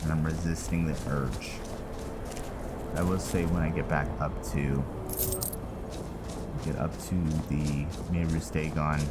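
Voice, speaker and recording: A man giving casual video game commentary, thinking aloud in short, halting phrases over game music. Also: very deep voice, steady tone. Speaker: gamer, video creator. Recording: loud game music behind the voice. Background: loud game music.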